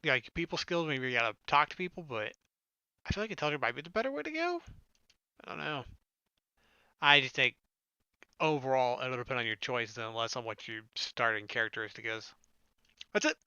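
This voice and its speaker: A man's voice: mid-range voice, lively intonation, a little fast, pauses often, sharp tone, smooth, dry recording, highs cut off. A man hosting a casual let's-play, reading game text aloud and adding joking, sarcastic asides. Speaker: gaming video host. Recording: game music behind the voice.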